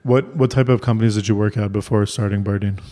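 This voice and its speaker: A man's voice: lowish voice, fairly even intonation, fast, dark tone. A man hosting a podcast interview, reading a polite scripted introduction and then asking short questions. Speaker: podcast host, editor.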